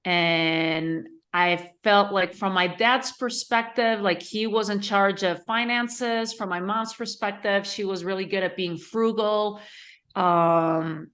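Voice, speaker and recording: Woman from Spain, podcast guest, bright tense voice, reflective, hesitant pauses. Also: medium pitch. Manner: thoughtful, hesitant, with fillers and self-corrections. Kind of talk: podcast interview.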